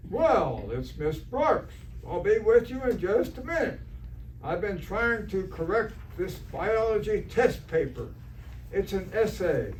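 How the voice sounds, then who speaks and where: Man playing a high-voiced, prim biology teacher. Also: sing-song, slow, a little mellow, exaggerated voice. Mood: fussy, disapproving. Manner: fussy, earnest, literal-minded. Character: earnest, prim, naive male biology teacher. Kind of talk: amateur stage re-creation of 1940s radio comedy. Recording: distant stage recording, faint noise.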